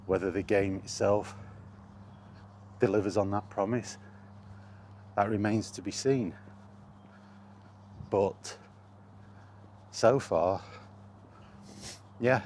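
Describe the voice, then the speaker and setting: A man chatting casually and solo as a podcast host while out walking, in a halting, understated way with pauses and hesitations. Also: sits low. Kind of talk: casual rambling chat recorded while out walking. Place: outdoors, walking to and in a park. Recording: steady background noise, outdoor ambience.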